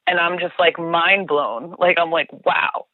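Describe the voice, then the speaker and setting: A woman's voice: fairly low pitch, fairly even intonation, unhurried. A woman with a New Jersey accent, chatting casually as a podcast guest, restarting her sentences and saying "like" a lot. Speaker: guest, tour guide. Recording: tinny phone line, dry.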